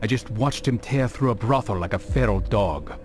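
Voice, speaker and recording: An actor playing a sober, earnest male assassin in a video game, speaking in a measured, formal way. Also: lowish voice, over music, natural voice. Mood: businesslike. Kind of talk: dramatic video game acting.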